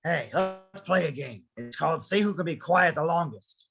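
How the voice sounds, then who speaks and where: An actor playing a grumpy, curt, impatient old widower in an animated film script read-through. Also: mid-range voice, normal speed, music in the background, close to an everyday voice. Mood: exasperated. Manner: curt, exasperated.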